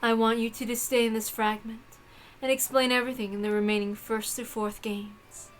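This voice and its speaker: A woman's voice: on the high side, little pitch movement, moderate pace, a little hiss or hum, natural voice. A woman voicing a haughty, cruel witch mistress who gives imperious, scornful orders in a visual novel read-aloud. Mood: cold, commanding.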